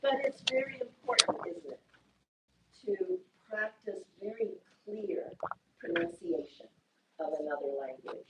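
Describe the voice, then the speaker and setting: A woman's voice: mid-range voice, big pitch swings, a little slow. A woman English teacher from Indiana with an Indiana accent, leading a class in a patient, encouraging, explanatory way. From Indiana, USA. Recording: distant mic in a classroom, faint noise.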